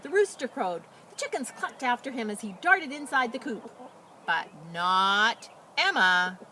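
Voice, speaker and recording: A woman speaking as a school storyteller outdoors, explaining and reading in a simple, animated way for children. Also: medium pitch. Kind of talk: casual introduction and expressive children's read-aloud. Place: outdoors beside a chicken coop. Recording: noisy outdoor recording with animal sounds.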